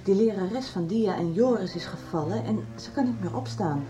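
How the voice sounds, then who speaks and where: A woman's voice: mid-range voice, expressive, moderate pace, music in the background, natural voice. A woman playing a busy female family doctor in a radio soap, practical, friendly and informal. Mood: practical, concerned. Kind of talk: 1990s Dutch radio soap acting.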